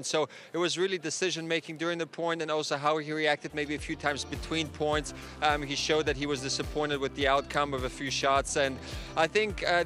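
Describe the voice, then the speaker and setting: A man's voice: fairly high pitch, bright, forceful tone. A man in his thirties, a former player, gives casual tennis analysis outdoors at night into a handheld mic, hesitating with fillers and restarts. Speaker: former player as remote guest. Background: faint crowd and street ambience.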